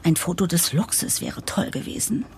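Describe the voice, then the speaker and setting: A wry, hopeful woman narrates her own radio play story. Her voice is low and very husky. She confides with lively swings and dramatic pauses, slipping into whispery asides. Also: full character voice. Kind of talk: scripted first-person radio play narration.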